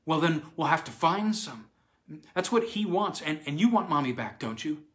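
A man's voice: fairly high pitch, big pitch swings, moderate pace, a bit bright, voice pushed up. A man voicing a secretive, fierce young girl who whispers and pleads. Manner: whispery, pleading, tearful at times.

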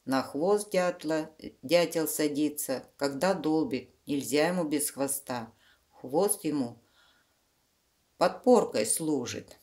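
A woman's voice: deep voice, slow, darkish tone. A woman reading a children's storybook aloud, explaining the pictures simply to young listeners. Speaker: children's book reader.

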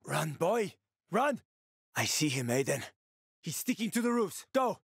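A man's voice: a little high, big pitch swings, unhurried, slightly rough, no room sound, studio quality, full character voice. An actor playing a wry, streetwise male survivor and former cab driver in a video game, colloquial and sweary, with an urgent manner. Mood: alarmed, shouting. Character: wry, streetwise male ex-cabbie survivor, fatherly yet shifty. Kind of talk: video game character dialogue.

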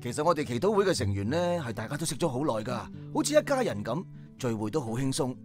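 A man's voice: medium pitch, big pitch swings, fast talker, music in the background, close to an everyday voice. An actor playing a jovial, welcoming man who organises a prayer group, in a Hong Kong Cantonese radio drama.

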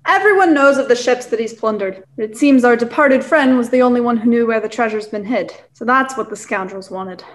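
A young woman playing an excitable, hearty gentleman squire in an amateur radio play. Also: fairly high pitch, fairly quick, few pauses, close to an everyday voice. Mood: excited. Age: young adult.

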